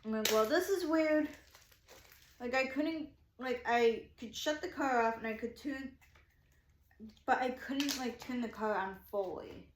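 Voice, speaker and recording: A woman's voice: on the high side, measured pace, a little hiss or hum. A woman in her twenties telling a story casually, rambling and repeating herself as she quotes her own thoughts. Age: 20s. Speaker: vlogger. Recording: echoey room.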